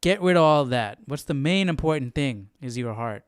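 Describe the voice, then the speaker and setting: A man hosting a Bible study livestream, explaining casually in a conversational, halting way. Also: medium pitch, lively intonation, smooth, no room sound, clean studio sound.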